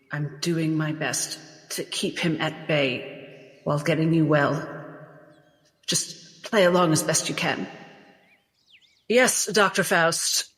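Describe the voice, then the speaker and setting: A man playing a gentle asylum doctor, hesitant and deferential, anxious to appease, in a tabletop horror game. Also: fairly high pitch, husky, exaggerated voice. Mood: tender, apologetic.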